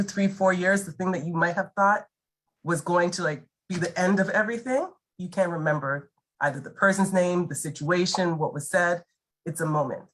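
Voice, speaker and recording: A woman speaking as a panellist in an online discussion, calm and reassuring. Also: lowish voice, poor audio. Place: online video call.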